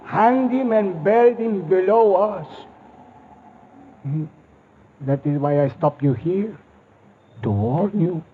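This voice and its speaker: An actor playing a brooding old castle servant in a 1940s radio drama, with a theatrical, ominous manner. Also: male voice, high voice. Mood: grim, ominous. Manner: theatrical, ominous, slow delivery. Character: brooding, cackling old Spanish castle servant, ghost-obsessed. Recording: muffled old radio recording, faint noise.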